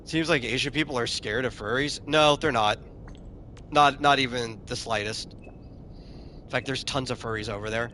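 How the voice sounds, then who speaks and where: A man's voice: medium pitch, moderate pace, sharp tone, a little hiss or hum. A man streaming a game, chatting casually and answering his chat, often repeating a word as he starts a sentence. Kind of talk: casual commentary while playing a game.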